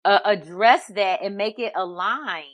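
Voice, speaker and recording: A woman's voice: mid-range voice, a little slow. A woman hosting a podcast, talking in a hesitant way with many fillers and restarts. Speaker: podcast host. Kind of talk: casual conversation.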